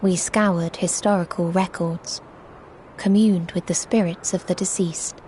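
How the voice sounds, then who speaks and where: An actress playing a mournful, otherworldly being who speaks for many in a video game, in solemn, declamatory, archaic language. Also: female voice, fairly low pitch, fairly even intonation, measured pace, warm tone, a little hiss or hum, natural voice, rough recording. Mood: grave reporting. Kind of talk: video game cutscene monologue, formal archaic narration.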